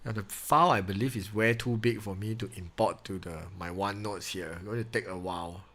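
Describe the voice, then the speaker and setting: A man's voice: fairly low pitch, fairly even intonation, a little fast, fairly bright tone. A young man from Malaysia with a Malaysian English accent, chatting casually with students on a live-stream maths class, laughing often and talking in loose, easygoing grammar. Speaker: online maths tutor.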